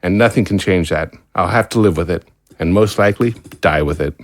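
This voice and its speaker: An actor playing a resigned, guilt-ridden scientist in an audio drama, grave and measured. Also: male voice, deep voice, no echo, clean studio sound, lightly acted. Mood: remorseful, resigned. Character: remorseful, resigned male scientist, weary and principled.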